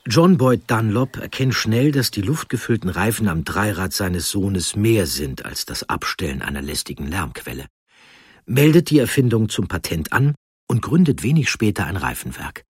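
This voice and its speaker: A man reading a scripted historical narration in a measured, articulate manner. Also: fairly low pitch, big pitch swings, slightly rough, no echo, clean studio sound. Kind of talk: scripted documentary storytelling narration. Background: music under the voice.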